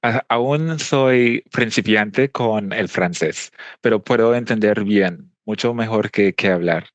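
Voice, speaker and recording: A young man from Trinidad and Tobago speaks in a fairly low voice, his native English showing through as he answers. He talks thoughtfully, filling pauses with hesitant sounds. The recording is dry and close. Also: dry recording, dull audio. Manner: thoughtful, many hesitant fillers. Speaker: interview guest. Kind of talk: casual interview.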